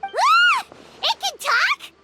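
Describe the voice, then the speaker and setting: A woman voicing a tiny, easily offended childlike companion creature in a squeaky voice for a video game. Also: wildly swooping pitch, unhurried, over loud music, heavily acted. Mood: indignant, startled. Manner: speaks of herself in the third person, exclamatory.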